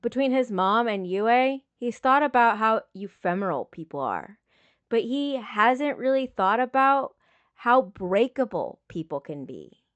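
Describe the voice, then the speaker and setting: Woman narrating fiction in measured tones. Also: a little high, sing-song, clean tone. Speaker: audiobook reader of fan fiction. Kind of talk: scripted dramatic fiction narration.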